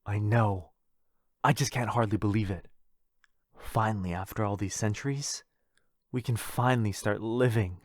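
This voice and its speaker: A man's voice: lowish voice, steady tone, moderate pace, no room sound, studio quality, natural voice. A man playing a lovestruck, possessive, centuries-old vampire brother in a boyfriend roleplay, tender and pleading. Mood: overjoyed.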